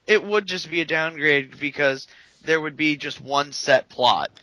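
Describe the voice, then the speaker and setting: Bright-voiced man chatting about games. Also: fairly high pitch, a little slow, no room sound, low-quality recording. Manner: opinionated, talks at length with frequent restarts. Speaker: podcast co-host. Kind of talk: casual gaming chat.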